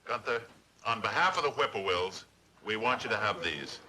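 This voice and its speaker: An actor playing an earnest, consoling friend in a 1960s sitcom. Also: male voice, fairly low pitch, animated, moderate pace, a crowd in the background, lightly acted, poor audio.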